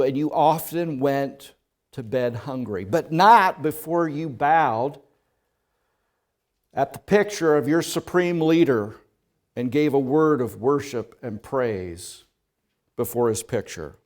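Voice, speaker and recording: Male pastor preaching; slightly high voice, slow measured pace, storytelling that builds from quiet to loud. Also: expressive. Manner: storytelling in second person, swelling from hushed to loud. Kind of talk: sermon.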